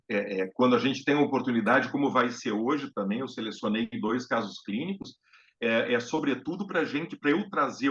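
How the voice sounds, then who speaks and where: A man from Brazil hosting a casual live-stream talk on music therapy, speaking hesitantly with many fillers and restarts. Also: medium pitch. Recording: dull lo-fi live-stream audio.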